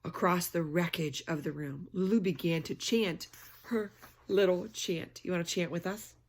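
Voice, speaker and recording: A woman's voice: fairly low pitch, normal speed, slightly rough. A woman storyteller reading a children's book aloud expressively, adding chatty asides and prompting listeners with questions.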